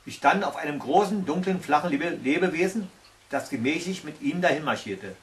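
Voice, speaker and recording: A man's voice: on the high side, lively intonation, fairly quick. An older man reading a fairy tale aloud as a storyteller, recorded indoors a little way from the camera. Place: small indoor room by a tiled stove.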